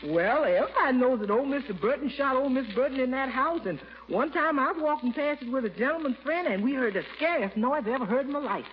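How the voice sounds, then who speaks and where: An actress playing an outspoken, folksy household cook in a 1940s radio comedy, emphatic in manner. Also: female voice, high-pitched, expressive, fast talker, hardly any pauses. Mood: spooked. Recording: muffled old radio recording, faint noise.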